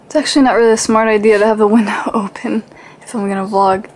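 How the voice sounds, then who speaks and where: A woman's voice: medium pitch, steady tone, fast talker. A woman vlogger talking casually, as if to viewers of her daily vlog.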